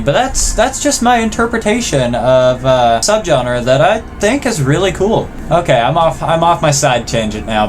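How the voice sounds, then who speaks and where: A man hosting casual reaction commentary, joking and self-deprecating, with loose, sweary phrasing. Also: a little high. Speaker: video host.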